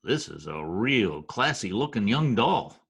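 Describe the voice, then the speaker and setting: A man's voice: on the low side, very animated, a little slow, creaky, a bit bright, slight background noise, exaggerated voice. A man playing a wry, skeptical Broadway wise guy in a 1940s-style radio drama, wisecracking in stiffly formal slang. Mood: surprised, probing. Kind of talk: old-time radio drama, present-tense slangy narration and dialogue. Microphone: video call recording.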